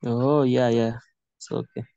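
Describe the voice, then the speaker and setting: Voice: medium pitch, steady tone, measured pace, dark tone, dry recording, muffled recording. A student giving brief, polite replies and questions to a teacher in an online class. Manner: polite, addresses the teacher respectfully.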